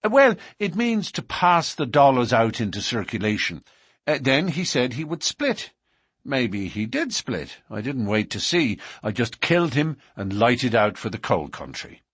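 A man voicing a bold, quick-tongued outlaw newcomer in an audiobook, self-assured and defiant in a matter-of-fact way. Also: medium pitch, big pitch swings, a bit bright, slightly rough, no room sound, studio quality, full character voice. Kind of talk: audiobook character dialogue.

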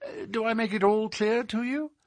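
A man voicing a self-assured gentleman detective in an audiobook, explaining in a precise, formal, theatrical way. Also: sits high, animated, moderate pace, no echo, pitched above the performer's own voice. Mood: expository, confident.

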